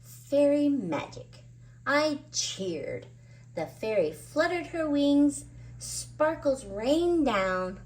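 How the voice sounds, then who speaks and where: A woman's voice: sits high, sing-song, extremely slow, low-quality recording. A woman hosting story time, narrating a children's book expressively with dramatic emphasis on words. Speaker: children's story reader and host.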